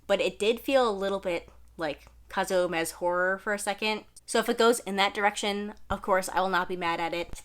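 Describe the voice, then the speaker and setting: A young woman, a bit hoarse from losing her voice, chatting casually at home to camera about what she has been reading, full of fillers and self-deprecating asides. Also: mid-range voice, fairly bright tone. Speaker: manga vlogger. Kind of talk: casual review chat to camera. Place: indoors at home, sitting on the floor.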